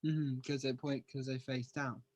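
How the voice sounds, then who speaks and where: A man's voice: mid-range voice, level tone, moderate pace, a little mellow. A man learning to drive, answering in short agreeing replies and laughing easily. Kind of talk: casual chat.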